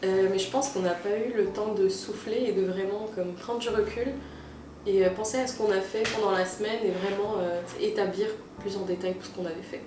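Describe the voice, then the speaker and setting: A young woman of Algerian origin, talking earnestly about her experience in a casual interview and pausing often with "euh". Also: mid-range voice, steady tone, echoey room, recorded from a distance, background noise. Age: young adult. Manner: earnest, with frequent "euh" pauses and restarts. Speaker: student interviewee.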